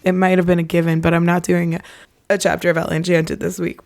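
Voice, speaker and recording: A woman's voice: fairly low pitch, little pitch movement, quick pace, darkish tone. A woman co-hosting a podcast in casual, playful chat while she is losing her voice. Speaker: podcast co-host.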